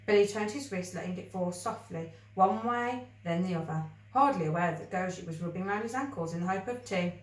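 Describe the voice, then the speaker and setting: A woman reading a children's story aloud in an expressive, scripted storybook style. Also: fairly low pitch, fairly quick, few pauses. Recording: echoey room, distant mic.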